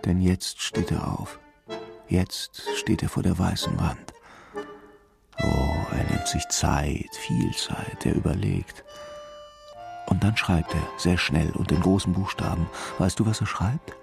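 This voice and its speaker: Deep, gravelly, brooding male narrator. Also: slow, over music, full character voice. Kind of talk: intimate dramatic radio narration.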